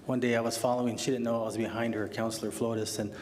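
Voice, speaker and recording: A man serving as mayor and chairing a city council meeting, talking in a relaxed, joking way. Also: mid-range voice, fast. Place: council chamber. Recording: echoey chamber with background noise.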